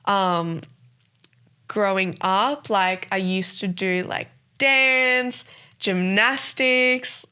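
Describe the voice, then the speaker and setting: A woman's voice: mid-range voice, drawn-out words, bright, forceful tone, smooth. A woman in her twenties with an Australian accent, from South Africa, Afrikaans her first language, chatting casually as a podcast host and filling her talk with "like". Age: 20s. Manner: chatty, many "like" fillers. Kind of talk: casual chat.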